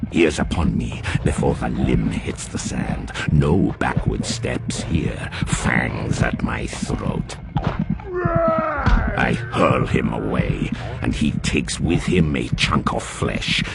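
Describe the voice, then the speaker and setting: An actor playing a savage, rage-driven warrior champion in an audio drama, with gravelly, menacing, intense delivery. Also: male voice, slow, exaggerated voice. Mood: grim, brutal. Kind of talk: audio drama first-person narration. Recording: loud rumbling battle noise behind the voice.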